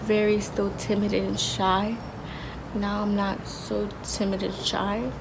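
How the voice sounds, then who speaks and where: A young woman in her twenties talking casually in a vlog, with a soft, low voice and a slangy, rambling way of talking full of restarts. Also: monotone, takes it slowly, vocal fry, noisy background. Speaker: vlogger.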